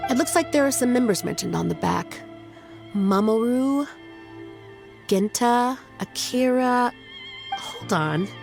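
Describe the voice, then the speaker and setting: A woman's voice: fairly high pitch, expressive, slow pace, creaky, pauses often, darkish tone, faint music behind, full character voice. A woman voicing an earnest, caring sister in a video game, plain-spoken and exclamatory. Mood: impressed, curious. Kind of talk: scripted video game voice acting.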